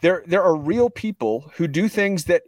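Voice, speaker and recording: A man in a heated conversation, speaking earnestly and defensively, often halting and repeating himself as he makes his point. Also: sits high, expressive, no echo, studio quality. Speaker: podcast guest. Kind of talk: heated conversational storytelling and debate.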